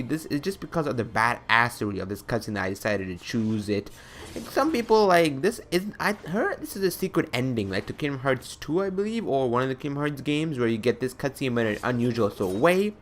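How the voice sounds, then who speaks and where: Guy doing casual game countdown commentary, gushes and rambles, really emphatic, mid pitched voice. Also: slight background noise. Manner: enthusiastic, gushing, rambling, emphatic. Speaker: video creator presenting a top-five list.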